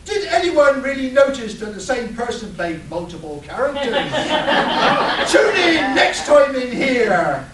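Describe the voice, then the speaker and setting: Very high-pitched, theatrical male narrator. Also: big pitch swings, takes it slowly. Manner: melodramatic serial announcer delivery. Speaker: narrator of a radio play. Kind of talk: theatrical scripted narration, live comedy. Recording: roomy, distant live convention recording with noise.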